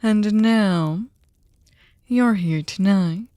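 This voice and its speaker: A woman's voice: mid-range voice, drawn-out words, dark tone, dry recording, clean studio sound. A woman playing a sadistic, seductive aristocratic vampire in an ASMR audio drama, teasing and mock-polite, often slipping into a whisper. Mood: mocking, amused.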